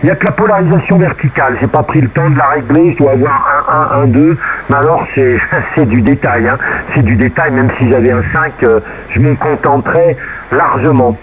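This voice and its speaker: A man's voice: mid-range voice, brisk. A man chatting casually and colloquially over amateur radio, often repeating his phrases. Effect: radio transmission. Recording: narrow, noisy radio transmission. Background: faint radio noise.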